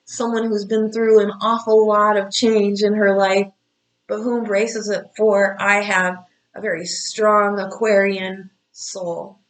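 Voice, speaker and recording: A woman's voice: mid-range voice, flat delivery, slow, poor audio. A woman in her fifties, an astrology coach, giving blunt, scolding, motherly advice straight to her viewers. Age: 50s. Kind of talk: direct-to-camera advice talk.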